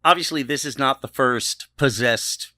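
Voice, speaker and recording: A man co-hosting a podcast in casual discussion, often halting and qualifying what he says. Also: medium pitch, pushed and bright, no room sound, studio quality. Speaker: podcast co-host.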